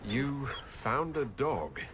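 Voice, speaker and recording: An actor playing a composed elf hero in a video game, with a dry, gently teasing manner. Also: male voice, mid-range voice, sing-song, drawn-out words, background noise. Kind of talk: video game dialogue acting.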